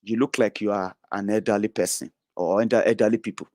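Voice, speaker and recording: A man's voice: fairly even intonation, fast, no room sound, studio quality. Blunt, profane man ranting. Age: 30s. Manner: blunt and profane, swinging from loud outbursts to low mutters. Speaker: online political commentator. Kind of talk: ranting monologue to followers.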